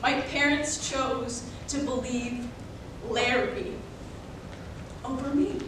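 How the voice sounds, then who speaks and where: A young woman delivering a dramatic readers theater monologue, deliberate and emphatic, in broken phrases. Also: sits high, steady tone. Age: young adult. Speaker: college speech competitor. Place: indoor performance room, recorded from afar. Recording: very echoey room, distant mic, noisy.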